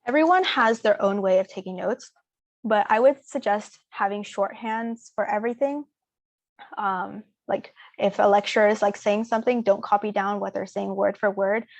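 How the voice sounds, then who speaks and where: A young woman speaking informally as a student presenter, answering audience questions in a relaxed way with many "um"s and "like"s. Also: mid-range voice, normal speed, no room sound, muffled recording. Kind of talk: casual Q&A seminar.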